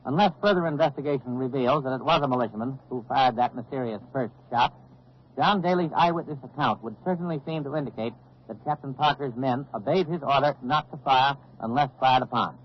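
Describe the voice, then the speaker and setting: Man as grave, formal 1940s radio military commentator, medium pitch, muffled vintage recording. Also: brisk, slightly rough, natural voice. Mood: grave, considered. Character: sober male military news analyst, a major. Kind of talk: 1940s radio acting, formal news analysis. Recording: dull old radio recording.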